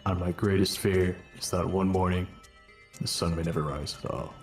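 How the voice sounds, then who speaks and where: A man voicing a weary, noble robot war commander in a cartoon fan dub, grave, measured and earnest. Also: low-pitched, fairly even intonation, soft background music. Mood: solemn. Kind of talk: dramatic first-person narration in a cartoon fan dub.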